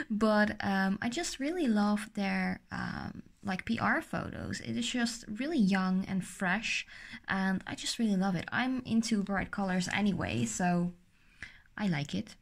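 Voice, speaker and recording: A woman's voice: mid-range voice, expressive, slow, creaky. Young woman, Dutch native, sore-throated beauty vlogger; casual, rambling delivery with plenty of fillers. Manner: chatty, rambling, lots of fillers. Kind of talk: casual product unboxing.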